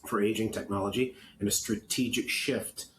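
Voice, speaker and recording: Low-voiced Canadian man, rambling podcast talk. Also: fairly even intonation, background noise. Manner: halting, rambling delivery with self-corrections. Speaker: podcast co-host. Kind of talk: opinionated casual podcast talk, partly reading notes.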